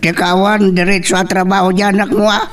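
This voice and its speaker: A man's voice: high voice, level tone, brisk, a bit bright, recorded from a distance. A man with an Ilocano accent playing a complaining, nagging family man in an amateur audio drama. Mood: grumbling.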